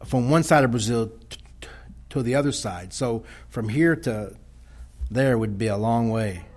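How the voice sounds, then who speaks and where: A middle-aged man with a Texas accent, a missionary telling a church congregation about his work and answering questions in a folksy, halting way with many restarts. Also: mid-range voice.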